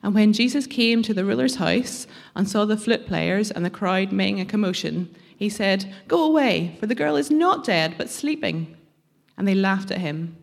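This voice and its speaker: A woman reads scripture aloud at a steady, measured pace. Her voice has a gravelly, creaky edge, and her pitch sits in an ordinary middle range. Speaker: scripture reader.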